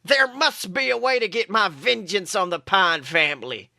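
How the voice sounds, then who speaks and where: A man's voice: high voice, lively intonation, normal speed, gravelly, bright, forceful tone, no room sound, clean studio sound, pitched above the performer's own voice, heavily acted. A man voicing an evil nine-year-old boy psychic, petulant, vengeful and theatrically indignant, in a fan fiction reading. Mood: enraged.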